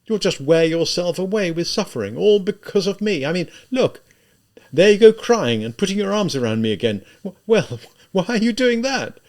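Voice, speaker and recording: A man voicing a tormented, spiteful young murderer in an audiobook, halting and emphatic as he reproaches himself. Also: mid-range voice, animated, lightly acted.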